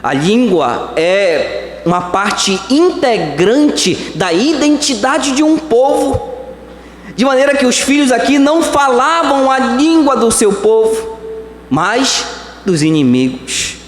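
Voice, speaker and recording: A Brazilian man preaching a sermon as pastor, swinging between slow emphatic words and quick phrases. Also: sits high, slight background noise. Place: church hall. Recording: echoey church hall.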